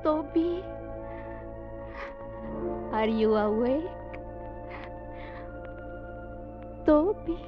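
An actress playing a guarded young Mexican woman in an old radio adventure drama, speaking halting English with a Mexican Spanish accent. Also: high voice, expressive, exaggerated voice. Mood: soft. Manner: simple, halting foreign-sounding English. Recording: muffled old radio broadcast, music behind.

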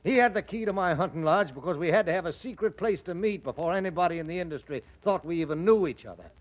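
An actor playing a defiant, evasive murder suspect with a husky voice in a 1940s radio drama. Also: male voice, high voice, lively intonation, fast. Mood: bitter, confessing. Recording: dull 1940s radio recording.

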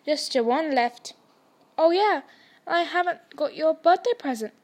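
Gruff kindly giant gamekeeper, read by a woman; hearty, raised, fairly high. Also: lively intonation, measured pace.

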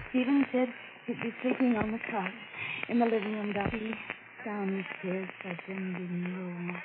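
An actress playing a troubled, frightened and defiant woman in a melodramatic, halting 1940s radio thriller style. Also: on the low side, lightly acted. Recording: scratchy, tinny old radio recording, heavy noise.

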